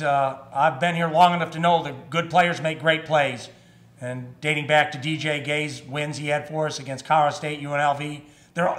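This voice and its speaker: Gray-haired coach at a press table mic. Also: male voice, on the high side, fairly bright tone. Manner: measured, with frequent fillers and restarts. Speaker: head basketball coach. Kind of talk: postgame press conference. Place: press room in front of a sponsor backdrop. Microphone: table microphone.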